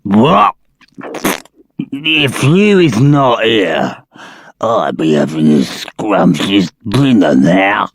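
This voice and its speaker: Slow, gravelly, dim-witted troll. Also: male voice, lowish voice, dry recording, full character voice. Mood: wistful. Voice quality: gravelly, husky. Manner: broken rustic grammar, slow and lumbering. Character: big dim-witted male troll, greedy and blunt. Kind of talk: video game character acting.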